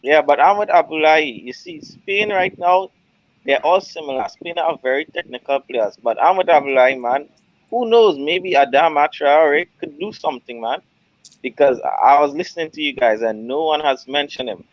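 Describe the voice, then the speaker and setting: Relaxed male guest, mid voice, muffled lo-fi call sound with no room. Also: fairly high pitch, moderate pace, dry recording. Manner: laid-back, tags lines with "man". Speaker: hangout guest. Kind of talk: casual football chat.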